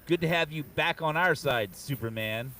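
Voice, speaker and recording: A man's voice: fairly high pitch, normal speed, bright, forceful tone. A man voicing a noble, courteous barbarian hero with formal, stately speech at a comic book table read, picked up away from the microphone. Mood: courteous, confident. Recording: distant from the microphone.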